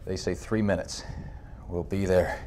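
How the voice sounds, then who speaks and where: Embattled sergeant, lowish pitch, terse and insistent under questioning, cinematic military game drama. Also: male voice, fairly even intonation, measured pace, background noise. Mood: grim, subdued. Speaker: video game voice actor.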